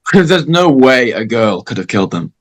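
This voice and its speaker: A man playing a crass, excitable high-school boy in a table read of a slasher script. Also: a little slow. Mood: brash. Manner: animated, brash.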